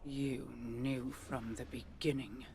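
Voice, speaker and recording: A regal, cold-tempered queen speaks slowly and with severe authority. It is dramatic video game acting, imperious and suspicious, with faint noise behind. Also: extremely low pitch, fairly even intonation. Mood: cold anger.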